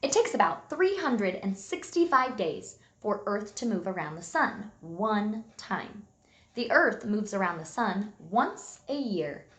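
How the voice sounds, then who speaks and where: Slow, lively woman teaching young children. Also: mid-range voice. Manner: simple, instructional, enunciates key words deliberately. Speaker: early elementary school teacher. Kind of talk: read-aloud lesson for young children. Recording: echoey room sound.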